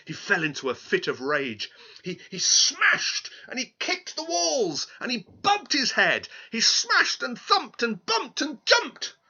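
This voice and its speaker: Slow, animated male storyteller. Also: extremely high pitch, dull audio. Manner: dramatic, emphatic. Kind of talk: expressive children's story reading.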